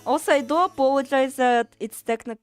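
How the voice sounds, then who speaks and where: A woman's voice: high voice, fairly quick, no echo, faint music behind. A woman from Russia with a Russian accent streams a game and chats casually, rambling, swearing freely and reading chat aloud. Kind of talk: casual gaming livestream chat.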